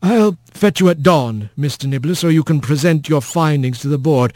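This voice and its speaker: A man's voice: on the high side, normal speed, darkish tone, no room sound, studio quality, lightly acted. A man voicing a formal, fussy rabbit official with polite, careful, slightly hesitant phrasing. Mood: officious, reserved. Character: prim, officious male rabbit, formal bureaucrat.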